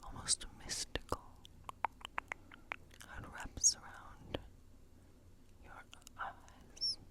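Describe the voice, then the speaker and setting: A woman playing a quirky, playfully spooky witch in a whispered ASMR role play, murmuring haltingly and repeating words to herself. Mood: entranced. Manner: hushed, halting, muttering repetitions to herself.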